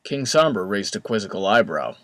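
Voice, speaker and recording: A man's voice: fairly low pitch, sing-song, a little fast, a bit bright, dry recording, studio quality. A man narrating a fan fiction story in a scripted storybook style.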